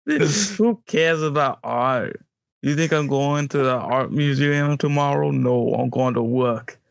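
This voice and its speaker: A man's voice: mid-range voice, slow, creaky, no room sound. A man with an American accent co-hosting a podcast with casual, blunt, joking banter. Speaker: podcast co-host.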